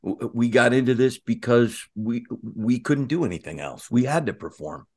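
A man co-hosting a casual interview, effusive and halting, often repeating his phrases as he goes. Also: medium pitch, lively intonation, a little mellow, breathy edge. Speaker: podcast co-host.

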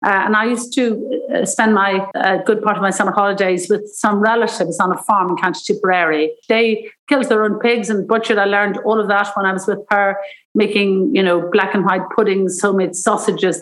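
A woman's voice: mid-range voice, low-quality recording. An Irish woman in her seventies, a cooking school founder telling rambling stories in an interview and acting out the voices she quotes. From Ireland. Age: 70s. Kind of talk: interview, anecdotal storytelling.

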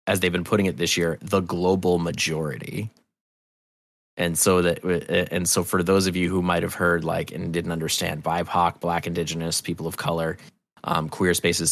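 Low-voiced man telling stories casually. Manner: animated, profane, rambling, self-deprecating. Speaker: interview guest, festival promoter. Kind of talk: casual storytelling interview.

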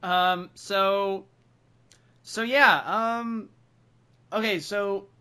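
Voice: mid-range voice, fairly even intonation, very slow, pushed and bright, clean tone. A podcast co-host chatting casually and thinking aloud in a group discussion.

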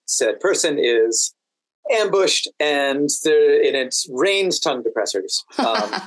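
An American man speaking as a podcast guest, telling stories in a halting, deliberate way with dry amusement. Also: a little high, sing-song, no room sound, rough recording. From Washington DC, United States. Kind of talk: anecdotal interview conversation.

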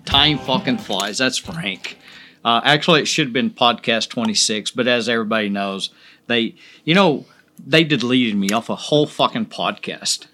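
A man's voice: mid-range voice, moderate pace, sharp tone. A middle-aged man hosting his own podcast, talking casually with a lot of swearing, restarts and emphatic repetition. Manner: profane, rambling, emphatic with many restarts. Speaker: podcast host. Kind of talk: casual solo podcast monologue.

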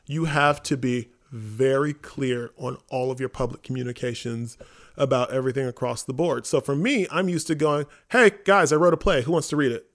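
A man talking casually on a podcast as one of the hosts, informal and animated, often acting out quotes. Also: medium pitch, moderate pace. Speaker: theater company member and podcast host.

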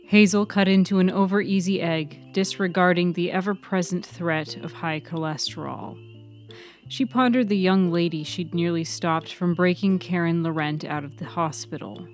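A woman's voice: fairly low pitch, moderate pace, faint music behind. A woman reading scripted fiction as the narrator of a serial story podcast.

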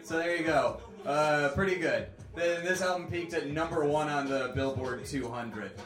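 A man's voice: on the high side, moderate pace, fairly bright tone, echoey room. A man hosting a podcast, chatting casually with a guest in a laid-back, joking way, with lots of filler words. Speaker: podcast host. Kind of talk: casual chat.

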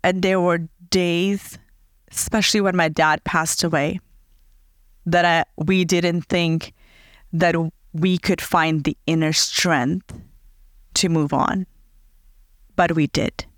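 A woman in her thirties from Fiji hosting her own podcast, speaking in an earnest, heartfelt way and slowing down to dwell on her words. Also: on the low side, fairly even intonation, no echo, clean studio sound. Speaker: podcast host. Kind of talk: reflective personal monologue.

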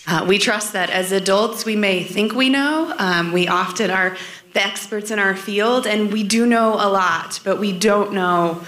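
A woman who directs a nonprofit, giving short remarks at a forum in an earnest, measured way with some fillers. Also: mid-range voice, fairly bright tone, reverberant.

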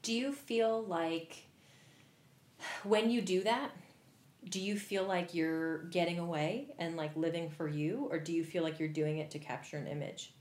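A woman's voice: lowish voice, fairly even intonation, unhurried, a little mellow, echoey room. A woman hosting an interview, chatty and enthusiastic, full of "like" and "you know". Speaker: interview host. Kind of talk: casual interview.

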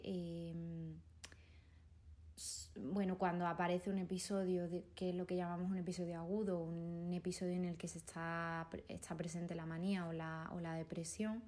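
A woman psychologist giving measured explanations in an interview, with some hesitation fillers. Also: fairly low pitch, a little mellow.

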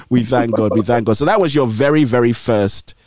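A man's voice: mid-range voice, animated, measured pace, a little mellow, no room sound. A man hosting a gospel radio interview from a studio, often restarting his words and encouraging his guest in a preachy manner. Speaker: radio show host.

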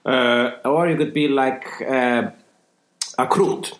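A man, joking deadpan in a casual chat as co-host. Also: mid-range voice, slow. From Iceland. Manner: deadpan joking, mock-serious. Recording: roomy echo.

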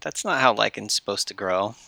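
A man's voice: fairly low pitch, animated, brisk, creaky, bright, forceful tone. A man playing a tabletop game, improvising in character in a flustered, stammering way.

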